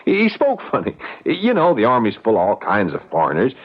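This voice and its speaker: Stammering young country ex-soldier, lively pitch. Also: male voice, fairly quick. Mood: rambling. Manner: hesitant, stammering, rambling and defensive. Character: nervous young rural ex-soldier accused of murder. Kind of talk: old-time radio drama acting. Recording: dull lo-fi radio drama recording.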